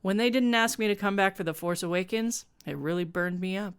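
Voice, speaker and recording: A woman reads the part of an older male film director in a comic story, in a smooth, clear voice. He is nostalgic and aggrieved, grumbling as he defends his work. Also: medium pitch, brisk, natural voice. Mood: wistful. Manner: grumbling, self-justifying.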